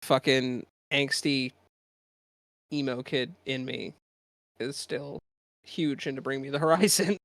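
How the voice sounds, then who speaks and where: Giggly man with a higher voice. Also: takes it slowly, pauses often, a bit bright, dry recording. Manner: giggly, profane, rambling. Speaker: podcast co-host. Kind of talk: casual chat.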